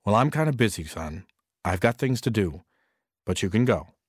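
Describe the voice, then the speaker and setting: A man's voice: fairly low pitch, expressive, normal speed, breathy edge, no echo. A stern, busy father, voiced by a man telling a story, speaking in a firm, dismissive everyday tone. The delivery is close and dry, with nothing around it.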